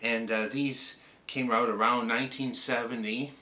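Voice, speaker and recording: A man's voice: medium pitch, steady tone, measured pace, bright, forceful tone. An older man with a Canadian accent, chatting casually and anecdotally as he reminisces like a hobbyist presenting on a vlog.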